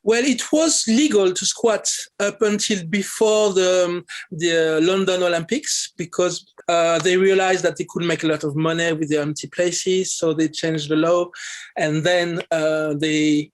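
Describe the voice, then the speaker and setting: A middle-aged man with a fairly high voice talks casually as a guest in an interview. He speaks in a halting way, restarting and repeating phrases as he goes. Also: fairly even intonation. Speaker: interview guest, electronic musician.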